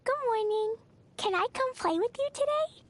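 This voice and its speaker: A woman's voice: very high-pitched, animated, moderate pace. A woman voicing an eager, mischievous little girl knight with a childlike, cutesy manner in a video game. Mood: eager, playful. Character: hyperactive, mischievous little girl knight who loves bombs. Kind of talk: video game character voice lines.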